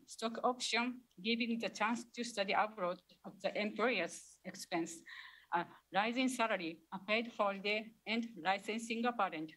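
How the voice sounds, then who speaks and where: A woman reads a prepared answer at a conference. Her voice sits in a middle range. She talks in a careful, measured way. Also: medium pitch, a bit bright, low-quality recording. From Japan. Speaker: judge on a conference panel.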